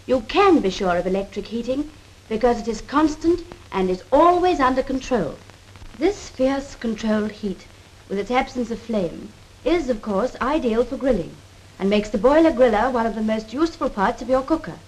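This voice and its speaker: Casting: actress as brisk British cookery demonstrator, high voice, clipped 1930s RP, scripted and instructive, on a worn old film soundtrack. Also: female voice, animated, a little mellow, natural voice, rough recording. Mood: instructive, assured. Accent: 1930s British Received Pronunciation. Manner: precise, instructive, proper. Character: brisk, assured woman cookery demonstrator advising a housewife.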